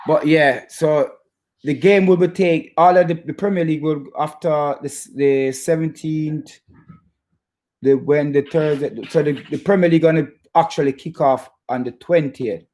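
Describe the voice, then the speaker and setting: A man from Jamaica with a Jamaican accent, hosting a casual football fan chat in a halting, stop-start way and repeating his phrases. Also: on the high side, steady tone, a little mellow. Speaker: football fan channel host. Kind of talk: casual chat to live viewers about football.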